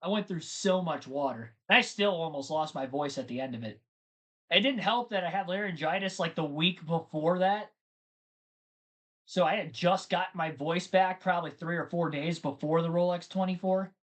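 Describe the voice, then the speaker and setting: A man's voice: on the high side, normal speed, fairly bright tone. A man hosting a live race stream, chatting casually and rambling to his viewers between race updates. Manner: chatty, rambling, talks to chat.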